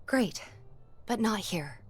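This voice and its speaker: A woman's voice: mid-range voice, animated, slow pace, soft background music. An actress playing a guarded, earnest young woman in a naturalistic video game cutscene. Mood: hesitant, friendly. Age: young adult. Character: young woman, earnest, guarded, hurt and defensive childhood friend.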